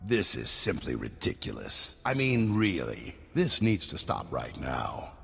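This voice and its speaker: An actor playing a haughty villainous rival hunter in a video game, pompous, indignant and contemptuous. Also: male voice, extremely low pitch, huge pitch swings, unhurried, vocal fry, a bit bright, breathy edge, exaggerated voice. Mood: indignant, scornful. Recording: long hall-like reverb.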